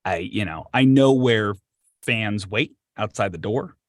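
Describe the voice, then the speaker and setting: A man's voice: on the low side, unhurried, dry recording, clean studio sound. A man hosting an interview, asking long, earnest, thoughtful questions that wander before reaching their point. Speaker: interview host. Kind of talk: conversational interview.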